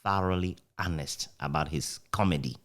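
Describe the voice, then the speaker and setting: A man with a Ghanaian accent, reminiscing casually as an interview guest in an animated, anecdotal way. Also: low-pitched, moderate pace. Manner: animated, chuckles often while recounting anecdotes. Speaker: interview guest, former radio broadcaster.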